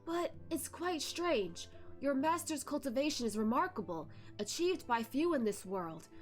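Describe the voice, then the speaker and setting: A woman voicing a cautious, learned old male physician from a story, speaking in a measured, formal, explanatory way. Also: high-pitched, pitched above the performer's own voice. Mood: puzzled, grave. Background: music behind the voice.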